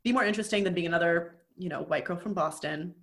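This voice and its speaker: Woman on a podcast, kinda low voice, chatty and laughing a lot. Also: fast. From from an Indian family. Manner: chatty, laughing, animated storytelling. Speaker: podcast co-host. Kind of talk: casual chat.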